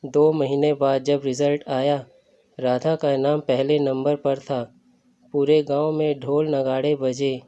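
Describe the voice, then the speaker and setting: A man's voice: mid-range voice, mellow. A man reading a scripted story as a narrator. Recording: dull lo-fi recording.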